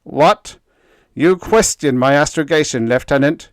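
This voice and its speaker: A man's voice: fairly high pitch, a little slow, dry recording, clean studio sound, pitched above the performer's own voice. A man voicing a pompous, spiteful official who snarls and raves. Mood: angry. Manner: snarling, venomous. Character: spiteful, pompous male efficiency expert.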